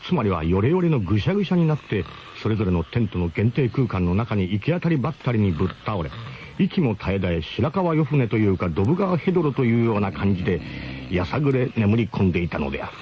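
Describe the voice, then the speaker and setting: A man's voice: fairly low pitch, very animated, fast talker, lo-fi sound, slight background noise. A man playing a camper who narrates a comic radio drama in a grandiose, mock-solemn way with colloquial turns. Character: male camper grandly recounting his gang's ramshackle expedition.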